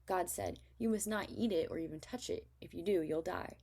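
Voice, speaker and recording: A woman's voice: lowish voice, normal speed, gravelly, a little mellow. A woman voicing an earnest young-world first woman in a Bible story, explaining and defending herself.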